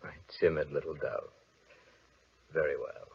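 A man's voice: rumbling low, steady tone, unhurried, slightly rough, heavily acted. An actor playing a menacing, courtly nobleman villain with a silky, mock-tender manner in an old-time radio drama. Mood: silky, sinister wooing. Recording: muffled old radio broadcast recording.

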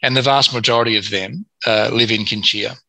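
A man with a gravelly, fry-laced voice that comes across strikingly bright and forceful. He answers interview questions in a halting way, full of fillers and restarts. The sound is very dry and close. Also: lowish voice, steady tone, no room sound, poor audio. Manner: hesitant, many fillers and restarts. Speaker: mining company executive. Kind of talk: business interview.

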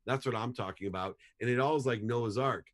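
A man's voice: medium pitch, brisk. A man in his fifties, a guest speaker, telling emphatic motivational stories and repeating key phrases for punch. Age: 50s. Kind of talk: energetic motivational storytelling.

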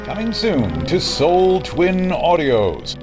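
A higher-voiced man announces an old-time radio promo in a slow, dramatic style. His pitch moves freely over faint music. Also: huge pitch swings. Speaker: trailer announcer.

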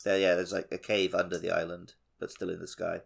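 A man's voice: low voice, level tone, brisk, creaky, husky. A man co-hosting a podcast, chatting casually in a tentative, conversational way. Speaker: podcast co-host. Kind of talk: casual chat.

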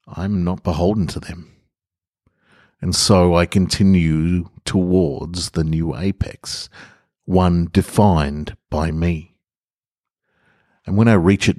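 A man hosting a podcast, speaking in a measured, deliberate way with pauses between phrases. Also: low voice, a little mellow, dry recording, studio quality. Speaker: podcast host and reader. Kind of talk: scripted literary monologue narration.

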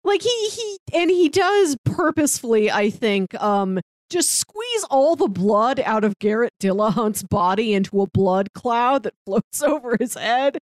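A woman's voice: on the high side, very animated, a little slow, hardly any pauses, no room sound, studio quality. A woman co-hosting a podcast, chatting casually about films with her fellow host. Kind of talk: casual film review chat.

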